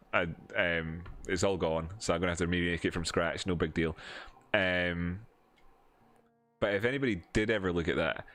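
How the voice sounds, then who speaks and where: A man with a broad Edinburgh Scottish accent and a somewhat low voice talks off the cuff to his stream audience. He rambles, restarts sentences and fills pauses with ums, moving between chatty asides and walking through charts. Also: a bit bright. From Scotland. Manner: rambling, many fillers and restarts, answering chat. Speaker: crypto streamer. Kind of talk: casual live-stream chat and chart teaching.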